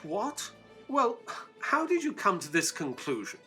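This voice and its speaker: A man playing an earnest, excitable Victorian gentleman, a detective's companion and narrator, in an audio drama. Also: sits high, measured pace, soft background music, full character voice. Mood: puzzled.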